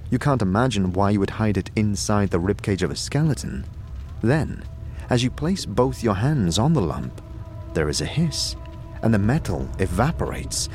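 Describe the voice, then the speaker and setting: A man's voice: lowish voice, a little mellow. A man narrating a scripted fantasy audio drama in a dramatic storytelling manner.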